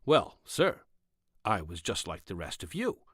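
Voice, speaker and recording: A shy young corporal in an audiobook, put on by a man narrating. He is earnest and polite, hesitant and evasive in his answers. His pitch is lively and the sound is dry. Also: a little slow, breathy edge, dry recording, exaggerated voice. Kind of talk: audiobook character dialogue.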